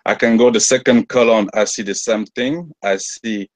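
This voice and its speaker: A man's voice: lowish voice, steady tone, moderate pace, no room sound. A man with a French accent, whose first language is French, hesitantly describing hieroglyphs in an online class, searching for words with many restarts. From France. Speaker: class member.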